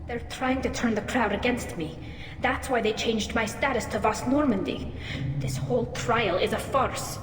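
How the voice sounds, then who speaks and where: A woman's voice: on the high side, normal speed, slight background noise, lightly acted. A woman playing a proud, earnest young female alien engineer in a video game. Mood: bitter. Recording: echoey hall, music underneath.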